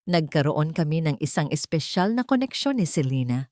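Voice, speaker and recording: A woman's voice: deep voice, sing-song, moderate pace, darkish tone, dry recording. A woman narrator plays a deceitful Filipino husband in an audiobook drama. He is self-justifying and falsely sincere, posing as the wronged man. The sound is close and dry. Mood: falsely wounded.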